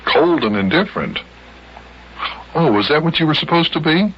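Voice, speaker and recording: A man with an American accent playing a patient, dryly amused grown-up who gently scolds a boy in a children's radio serial. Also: fairly high pitch, big pitch swings, normal speed. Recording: hissy, dull old radio recording.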